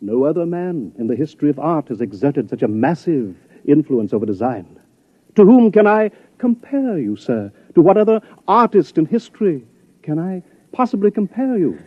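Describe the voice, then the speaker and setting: A man's voice: a little high, big pitch swings, moderate pace, dry recording. A man playing a grandiose, fussy art scholar who has traveled back from the future, in a 1950s radio drama. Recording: muffled old radio broadcast.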